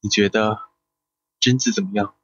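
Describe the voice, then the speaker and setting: A man playing a sickly, dreamy older brother in an audio drama, quiet and detached. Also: fairly low pitch, steady tone, moderate pace. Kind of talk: Mandarin audio drama acting. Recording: dull, dry lo-fi recording.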